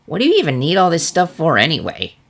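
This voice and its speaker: A woman's voice: low-pitched, wildly swooping pitch, quick pace, heavily acted. A woman voicing a brash, playful younger pegasus pony mare with a breezy, teasing manner in a story reading. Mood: casual, curious. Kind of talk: fan fiction character reading.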